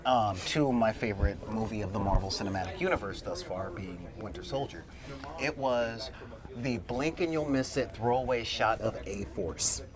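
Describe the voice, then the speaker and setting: Panelist, male, mid pitch, argues his view, lots of repeats, crowd bustle behind. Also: moderate pace. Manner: opinionated, argumentative, repeats himself. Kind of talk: casual panel discussion. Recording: outdoor convention crowd noise. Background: crowd chatter.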